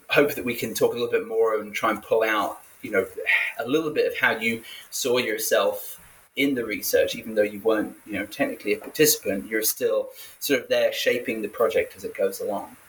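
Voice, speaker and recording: A man's voice: lowish voice, steady tone, brisk, a bit bright, slight background noise, low-quality recording. A man hosting a book interview podcast, introducing his guest politely with frequent uhs. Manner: polite, hesitant with fillers. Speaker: podcast host.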